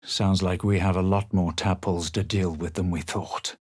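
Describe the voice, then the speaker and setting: A man voicing a smug, self-assured adventurer hero in a fantasy video game, with a husky voice and a measured, dramatic delivery. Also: low-pitched, fairly even intonation, a little mellow, dry recording, clean studio sound. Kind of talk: video game voice lines, narration and spell calls.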